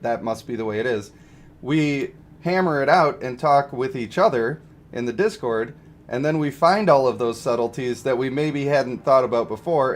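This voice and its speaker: A man hosting a game livestream, chatting casually and explaining his views to viewers in a conversational way. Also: mid-range voice. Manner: conversational, explanatory, checks for agreement. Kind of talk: casual livestream chat, reading and answering viewer comments. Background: music.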